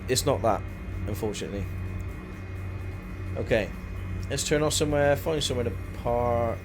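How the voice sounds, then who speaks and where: A man with a mid-range voice commentates casually on a flight simulator stream. He thinks aloud in a halting, chatty way. Clear background sound from the game runs under his voice. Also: mid-range voice, over music. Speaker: live-stream host.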